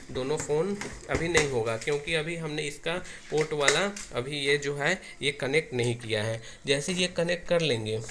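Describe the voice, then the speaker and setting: A man explains a technical setup step by step, often repeating his phrases as he demonstrates. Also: a little high. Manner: repetitive, restarts phrases as he demonstrates. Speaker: technician giving a tutorial. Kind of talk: hands-on tech tutorial, explaining step by step. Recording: noisy amateur recording with clicks.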